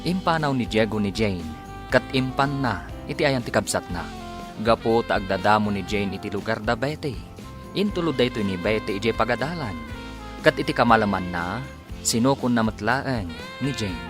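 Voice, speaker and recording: A man's voice: on the low side, expressive, normal speed, over music. A man announcing and narrating a radio drama serial in a dramatic, scripted style. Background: musical instruments.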